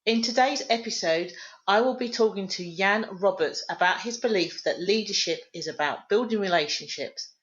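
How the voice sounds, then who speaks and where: A woman hosting a podcast, reading a scripted introduction and then interviewing a guest in a measured, clear way. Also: mid-range voice, muffled recording. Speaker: podcast host.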